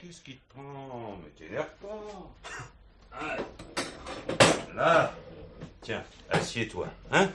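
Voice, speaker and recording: An actor playing a sardonic police inspector in a stage play, needling and familiar. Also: male voice, mid-range voice, big pitch swings. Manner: familiar, needling, dictates slowly and deliberately. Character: wily, sardonic male police inspector grilling a suspect. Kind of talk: filmed stage play acting. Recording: hissy 1970s theatre recording.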